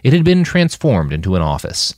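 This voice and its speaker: A man's voice: sits low, huge pitch swings, fairly quick, a little mellow, dry recording, clean studio sound. A man reading scripted fiction as an audiobook narrator.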